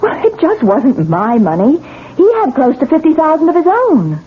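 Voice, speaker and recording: A woman's voice: high voice, wildly swooping pitch, normal speed. A woman playing a newlywed wife who insists to a doctor and a police lieutenant that she is sane. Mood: guarded. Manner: tense, sharp, pushes back. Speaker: Mrs. Renard, patient in hospital. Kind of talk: 1970s radio drama acting. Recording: tinny lo-fi 1970s radio broadcast with hiss.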